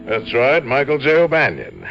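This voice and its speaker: An actor playing a polite, cagey import dealer in a 1950s radio drama, with smooth salesman patter. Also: medium pitch, wildly swooping pitch, normal speed. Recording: dull lo-fi old radio broadcast with faint hiss.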